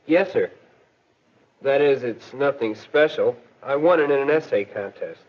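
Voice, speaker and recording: A man's voice: medium pitch, lively intonation, a little slow. A young American man playing a polite, earnest, self-conscious teenage schoolboy in a 1940s educational film. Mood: polite, anxious. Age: teenager or young adult. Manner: earnest, deferential. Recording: dull, lo-fi 1940s film soundtrack.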